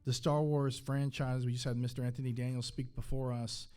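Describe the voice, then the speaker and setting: A man with a mellow, relaxed voice works as an interviewer at a live convention. His pitch sits in the middle, and he feels his way through questions in halting phrases. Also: clean tone. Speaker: podcast host interviewer.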